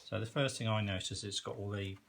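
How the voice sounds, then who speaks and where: A man's voice: lowish voice, steady tone, fast, vocal fry. A man chatting casually while reviewing and unboxing a product, with informal, chatty asides. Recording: very noisy recording, rustling and clicks.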